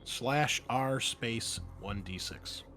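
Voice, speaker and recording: A man running a tabletop roleplaying game as game master, explaining rules and scenes with thinking pauses. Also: medium pitch, background noise. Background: music.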